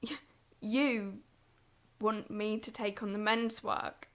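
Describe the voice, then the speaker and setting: A woman's voice: medium pitch, steady tone, takes it slowly, a bit bright. A woman playing a tart, reluctant daughter of a farm family in an audio drama, dry and guarded. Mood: cool, taken aback.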